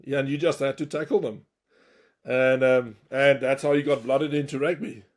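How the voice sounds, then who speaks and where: A man's voice: mid-range voice, fairly even intonation, normal speed. A man hosting a podcast, asking questions in a friendly, chatty way. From South Africa. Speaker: podcast host, interviewer. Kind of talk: casual interview.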